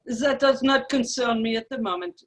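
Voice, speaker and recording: A woman's voice: a little high, moderate pace, close to an everyday voice. A woman playing a stiff, impatient French ambassador with curt, formal manners in a radio play table read. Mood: strained politeness. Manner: formal, curt, strained politeness.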